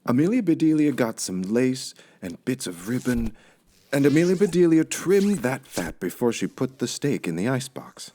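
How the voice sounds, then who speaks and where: A man's voice: mid-range voice, big pitch swings, normal speed, mellow, slight background noise. A man narrating a children's audiobook as a scripted storyteller.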